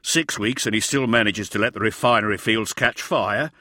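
A man voicing a gruff, contemptuous soldier in an audiobook, curt and commanding. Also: fairly low pitch, moderate pace, pushed and bright, no room sound, studio quality. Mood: sneering. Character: gruff, contemptuous male imperial soldier barking orders. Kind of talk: dramatised audiobook character voice.

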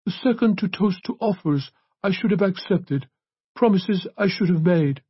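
A man voicing a solemn male priest in an audiobook, speaking in a gentle, measured and stately manner. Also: high voice, expressive, husky, dry recording, lo-fi sound, pitched above the performer's own voice. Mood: solemn, remorseless. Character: solemn, remorseless male priest reading a will aloud. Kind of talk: audiobook character voice.

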